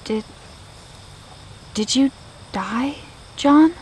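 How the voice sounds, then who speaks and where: Teenage girl, hesitant, gentle, slow delivery, radio drama, faint cricket noise. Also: high voice, full character voice. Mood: hesitant, curious. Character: tentative, gentle fifteen-year-old girl, soldier's daughter. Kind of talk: naturalistic audio drama acting. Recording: steady outdoor night-insect noise.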